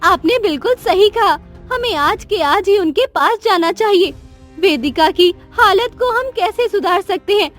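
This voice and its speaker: A woman voicing a remorseful young queen and anxious mother in a cartoon story, speaking in an earnest, pleading, dramatic way. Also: extremely high pitch. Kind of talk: Hindi cartoon story dialogue.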